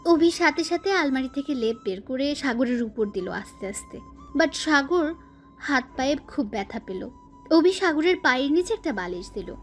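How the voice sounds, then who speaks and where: Casting: female narrator for scripted romantic fiction, high voice, faint music bed underneath. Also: animated, normal speed. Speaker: story narrator.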